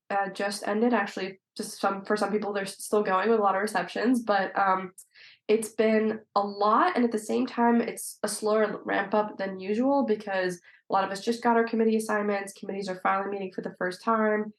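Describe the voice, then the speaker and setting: A woman giving conversational interview answers as a legislator guest, explaining things in an articulate way. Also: medium pitch. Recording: roomy, echoey sound.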